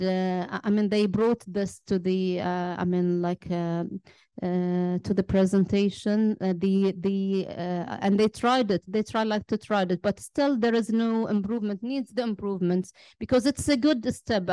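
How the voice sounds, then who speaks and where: A woman's voice: medium pitch, mellow, dry recording. A woman speaking on a conference panel, hesitant, with many fillers and restarts. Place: conference room.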